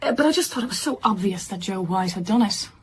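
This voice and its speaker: A woman's voice: mid-range voice, lively intonation, fast, natural voice. A woman playing a cheeky, offhand detective's sidekick in a naturalistic radio drama. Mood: teasing.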